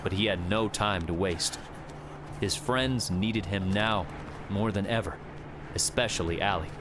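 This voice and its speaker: A man's voice: fairly low pitch, measured pace, noisy background. A man reading a scripted adventure audiobook as a dramatic narrator.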